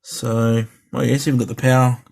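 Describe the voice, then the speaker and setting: A man's voice: mid-range voice, level tone, moderate pace, creaky, a little mellow. A middle-aged man chatting casually through a radio unboxing and repair, rambling with fillers and restarts. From Australia. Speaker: hobbyist vlogger.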